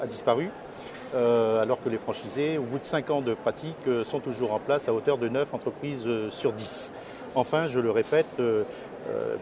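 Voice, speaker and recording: A man in his fifties giving hesitant, measured interview answers as a company executive. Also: mid-range voice. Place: trade fair interview stand, indoors. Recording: clearly noisy exhibition hall ambience.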